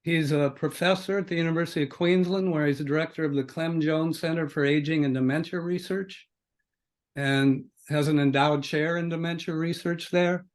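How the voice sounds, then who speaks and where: An older man hosting a podcast conversation in an unscripted, halting way, as if thinking aloud. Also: on the high side, steady tone. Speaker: podcast host. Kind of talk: conversational scripted-free interview introduction.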